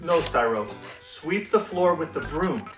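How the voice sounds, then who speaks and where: Man playing a higher-voiced, exasperated robot customer. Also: expressive, unhurried, over music. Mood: exasperated, insistent. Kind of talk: scripted comedy sketch.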